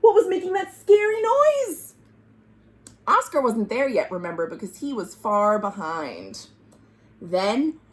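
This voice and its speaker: A woman reading a children's storybook aloud as a storytime host, dramatic and exclamatory. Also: high voice. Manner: exclamatory, dramatic, asking listeners questions. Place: at home.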